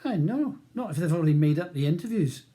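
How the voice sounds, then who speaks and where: A man's voice: fairly high pitch, lively intonation, fast talker, warm tone, voice pushed up, close to an everyday voice. A man voicing a small, scornful, distrustful Scottish prisoner with a Glaswegian accent and a blunt manner, in an audiobook. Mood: matter-of-fact. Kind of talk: audiobook character dialogue.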